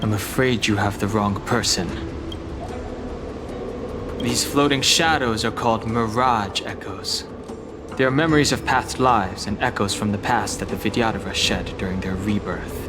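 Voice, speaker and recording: An actor playing a calm, guarded young dragon warrior in a fantasy game, speaking in a measured, formal way. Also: male voice, fairly low pitch, over music, close to an everyday voice. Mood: calm, solemn.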